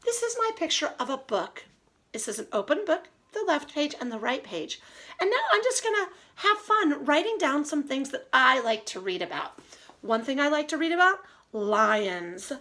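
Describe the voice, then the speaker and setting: A woman teacher reading aloud and giving a lesson to young children in an expressive, child-directed way. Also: sits high, measured pace. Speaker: early elementary teacher.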